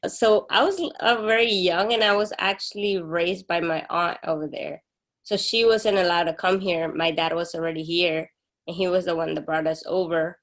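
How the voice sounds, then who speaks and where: A young woman from Honduras, talking as a guest in a casual interview. Her voice is a bit low with a strong gravelly fry, and she speaks openly and earnestly. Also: steady tone, moderate pace, a bit bright. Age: young adult.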